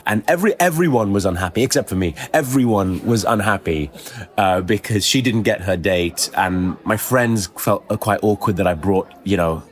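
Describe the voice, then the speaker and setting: Guy with a low voice telling a story on a podcast, kinda halting and hesitant. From born in Kuwait, moved around the world. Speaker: podcast guest, comedian. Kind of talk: conversational anecdote.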